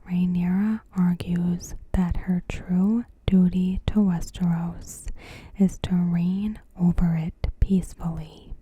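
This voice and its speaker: Very slow, breathy whispering ASMR woman. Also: on the low side, muffled recording. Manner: soft, unhurried, careful. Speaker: ASMR creator. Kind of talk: ASMR, scripted episode recap narration.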